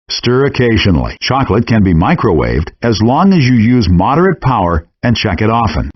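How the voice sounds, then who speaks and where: A man reading scripted cooking instructions in a measured, step-by-step way, as the narrator of a game. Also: slightly rough. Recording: dull, compressed low-fidelity game audio.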